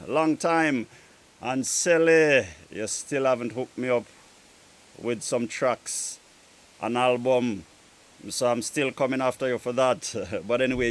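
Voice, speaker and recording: Male music show host, mid-pitched, outdoor setting. Casual, friendly shout-outs with drawn-out phrasing. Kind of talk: casual music show presenting between tracks. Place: outdoors in a garden.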